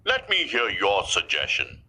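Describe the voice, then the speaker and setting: A man's voice: low voice, huge pitch swings, a little slow, vocal fry, pushed and bright, heavily acted. A man voicing a curious, regal king in a storybook tale, speaking in a questioning manner. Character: curious, amused male Indian king. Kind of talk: storybook character dialogue.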